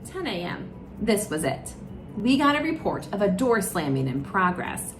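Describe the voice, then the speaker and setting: A woman's voice: medium pitch, big pitch swings, moderate pace. A woman storyteller narrating a children's storybook aloud. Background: music.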